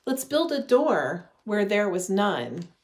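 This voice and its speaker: A woman in her thirties reading a children's storybook aloud with gentle, deliberate phrasing. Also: mid-range voice, sing-song. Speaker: religious education director reading a children's story. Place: living room at home.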